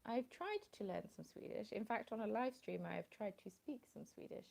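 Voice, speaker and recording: A woman's voice: mid-range voice, animated, normal speed, creaky, a little mellow. A woman chatting casually on a home live stream, rambling and greeting viewers, into a phone with a small plug-in mic. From England. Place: at home in the evening, dim light.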